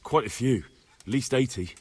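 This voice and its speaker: A man's voice: medium pitch, normal speed, husky, lightly acted. A man playing a dutiful, grim young medieval soldier in a video game cutscene. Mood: factual, reporting.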